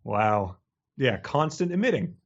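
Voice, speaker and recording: A man's voice: mid-range voice, sing-song, a little slow, muffled recording. A man co-hosting a casual book discussion, joking wryly as he comments. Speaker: podcast co-host.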